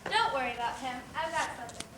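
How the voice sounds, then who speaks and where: A woman's voice: high voice, expressive, quick pace. A woman playing a kind, earnest, eager female crab in a children's stage play. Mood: grateful, upbeat. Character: kind, eager, forgiving female crab who loves holidays. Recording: echoey stage, distant mic, noisy.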